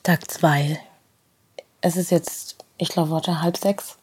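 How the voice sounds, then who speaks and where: Woman, thirties, audio diary, very low pitch, slow, soft and partly whispered. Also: fairly even intonation, a little hiss or hum. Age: 30s. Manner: quiet, partly whispered, casual. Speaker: participant trying a self-help book. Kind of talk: self-recorded audio diary.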